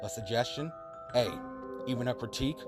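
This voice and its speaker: A man's voice: medium pitch, fairly quick. A man hosting a radio show, speaking in a casual sign-off style. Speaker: podcast host. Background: loud mallet music.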